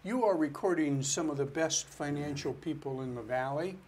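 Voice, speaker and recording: A man's voice: a little high, moderate pace, a little mellow, slight background noise. A man who is a financial planner, answering interview questions in an earnest, step-by-step way. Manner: earnest, explains step by step with restarts.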